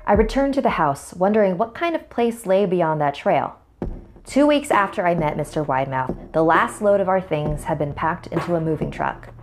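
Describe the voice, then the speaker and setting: A woman's voice: lowish voice, noisy background. A woman narrator reading a scripted horror story in the first person.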